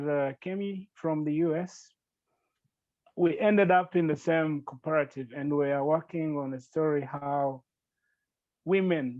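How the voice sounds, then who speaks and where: A man from Rwanda, speaking earnestly and gratefully as a podcast guest, with halting restarts. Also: fairly high pitch, darkish tone, no room sound, lo-fi sound. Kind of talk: podcast interview.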